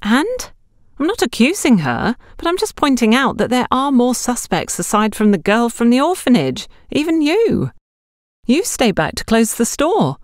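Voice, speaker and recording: A woman's voice: a little high, huge pitch swings, normal speed, no echo, clean studio sound, voice pushed up. A woman voicing a bold, outspoken young salesgirl in an audiobook, blunt and challenging. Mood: challenging, indignant.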